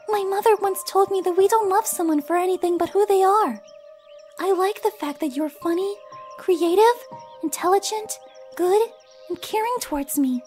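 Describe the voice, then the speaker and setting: A woman voicing a gentle, lovestruck female cartoon pony in an audio drama, soft and girlish, sweet and earnest. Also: extremely high pitch. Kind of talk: fan audio drama acting.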